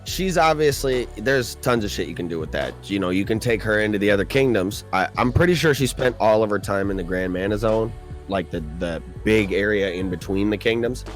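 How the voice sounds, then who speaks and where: Low-voiced man rambling casually. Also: lively intonation, fairly quick, faint music behind. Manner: laid-back, rambling, profane. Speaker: podcast co-host. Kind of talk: casual chat.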